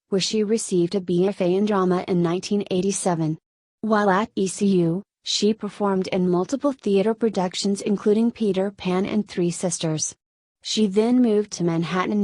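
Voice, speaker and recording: A woman reading an encyclopedia article aloud in an even, measured way, pausing at each date. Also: medium pitch, no room sound. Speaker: article reader. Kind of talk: scripted encyclopedia narration.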